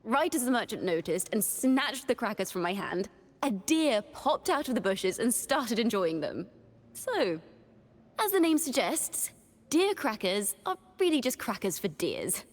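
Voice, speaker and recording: A woman voicing a young, horned wandering bard in a video game, a cheerful yet wistful poet who speaks in lyrical, expressive storytelling with a reverberant tail. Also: mid-range voice. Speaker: game character voice. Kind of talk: scripted character monologue.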